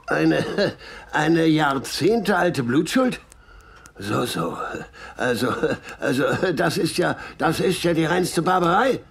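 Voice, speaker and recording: An actor playing a flustered, blustering police constable in a German audio drama, stammering and repeating himself with pompous, rough delivery. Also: male voice, fairly high pitch, expressive, takes it slowly, slight background noise, heavily acted. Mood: blustering, indignant.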